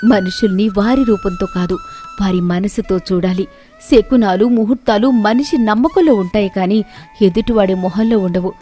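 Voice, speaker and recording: A woman voicing a bold, sharp-witted ten-year-old village girl in a story, direct and challenging. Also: mid-range voice, moderate pace, soft background music, close to an everyday voice. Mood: firm, reproachful.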